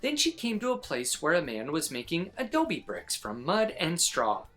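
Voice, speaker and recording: A woman reading a children's picture book aloud as an expressive storyteller. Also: sits low, moderate pace, soft background music.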